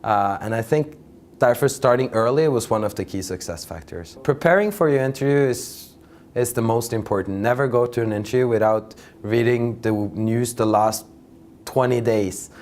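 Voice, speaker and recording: A man's voice: medium pitch, normal speed, darkish tone. A young man from Norway in his twenties, a master's student earnestly giving advice in a testimonial interview.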